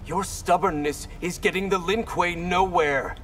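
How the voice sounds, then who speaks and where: High-pitched, resolute ninja. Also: male voice, a little slow, noisy background. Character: principled, determined ninja second in command. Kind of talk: video game character dialogue. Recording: music behind the voice.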